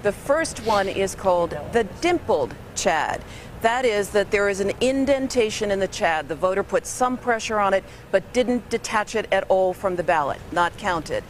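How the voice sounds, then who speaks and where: A woman's voice: medium pitch, animated, normal speed. A woman working as a television news reporter, explaining events step by step in a live report. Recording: faint ambient background noise.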